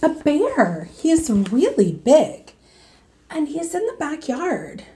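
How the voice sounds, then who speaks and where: A woman voicing excitable young boys in a storybook, exclaiming in a wide-eyed way. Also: sits high, slow, voice pushed up, heavily acted. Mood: surprised, curious.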